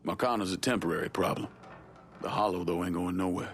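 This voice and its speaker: A deep-voiced, blunt Southern war veteran. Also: male voice, quick pace, a little hiss or hum. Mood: firm, indignant. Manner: blunt, guarded, profane. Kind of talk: naturalistic video game cutscene acting.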